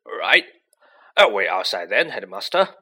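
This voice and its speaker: A man plays a huge, loyal, shaggy groundskeeper in a storybook reading. He is loud and blustering, with swooping pitch and a forceful edge. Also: sing-song, measured pace, slightly rough, exaggerated voice. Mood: abashed. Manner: loud, urgent, ranting.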